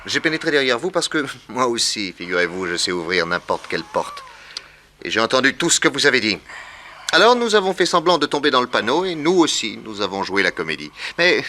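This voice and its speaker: An actor playing a confident, shrewd detective in a 1960s French radio crime serial, assured, wry and commanding. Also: male voice, mid-range voice, big pitch swings, a little fast, pushed and bright, a little hiss or hum. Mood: wry, triumphant.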